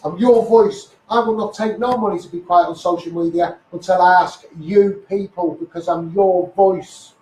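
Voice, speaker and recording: A man's voice: high-pitched, fairly even intonation, normal speed, few pauses, darkish tone. A man with a Scouse accent delivers an impassioned, blunt, sweary live rant as a campaigner, repeating phrases for emphasis. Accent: Scouse (Liverpool). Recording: echoey, noisy livestream, distant mic.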